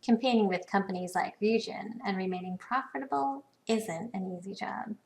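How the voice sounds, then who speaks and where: Casting: a woman to play a worldly, poised corporate insider for a video game; creaky, medium-pitched voice, knowing conversational delivery. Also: moderate pace, a little mellow, lightly acted. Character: poised, worldly female corporate business professional. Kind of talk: video game dialogue lines.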